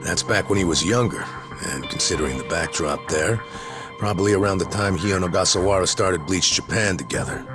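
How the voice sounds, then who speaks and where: A man plays a practical ally with a very deep voice, explaining things steadily and plainly. Clear music runs behind him. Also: brisk, natural voice. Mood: matter-of-fact. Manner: steady, matter-of-fact.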